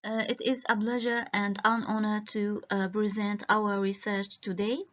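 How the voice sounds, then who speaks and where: A woman from Iraq giving an academic conference presentation over a video call, halting and full of hesitations. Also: mid-range voice, level tone, a bit bright. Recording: muffled lo-fi video-call audio.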